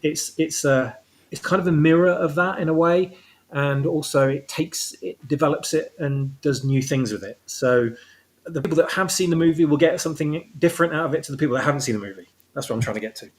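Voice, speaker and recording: A man's voice: on the high side, brisk. A man talking casually as an interview guest, explaining his own story and rephrasing as he goes. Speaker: guest, audio drama writer.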